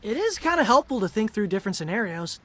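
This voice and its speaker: A man playing a sincere, easygoing teenage mutant turtle in an animated game. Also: high voice, fast talker, heavily acted. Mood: wry.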